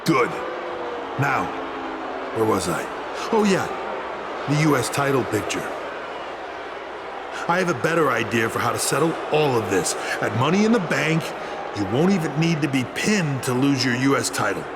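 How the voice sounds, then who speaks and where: An actor playing an arrogant, menacing wrestling boss in a video game, laying down the law in a condescending, commanding way. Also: male voice, mid-range voice, big pitch swings, normal speed, long pauses, very noisy, natural voice. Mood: smug, commanding.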